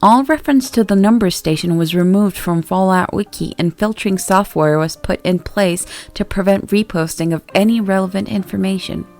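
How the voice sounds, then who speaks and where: A woman reading a scripted horror story as its narrator, in a measured, deliberate way. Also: mid-range voice, a little mellow. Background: music.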